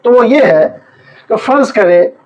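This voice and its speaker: High-pitched Pakistani man lecturing on law. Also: animated, slow, a little mellow. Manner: explanatory, emphatic repetition of key points. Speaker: advocate explaining law. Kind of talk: informal legal lecture. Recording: dull, lo-fi muffled audio.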